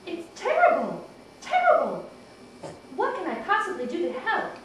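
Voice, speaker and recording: A woman's voice: very high-pitched, wildly swooping pitch, normal speed, heavily acted. A woman playing a distressed, melodramatic young queen in an amateur puppet show. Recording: very echoey room, distant mic, noisy.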